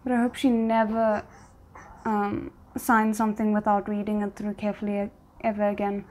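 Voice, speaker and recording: A woman's voice: medium pitch, monotone, moderate pace, a little mellow, slight background noise. A woman hosting a reaction video, giving casual commentary and thinking aloud as she goes.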